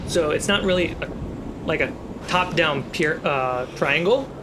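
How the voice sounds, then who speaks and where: A man's voice: a little high, unhurried. A man acting as game master, narrating a fantasy tabletop game among friends. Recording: clear background noise and ambience.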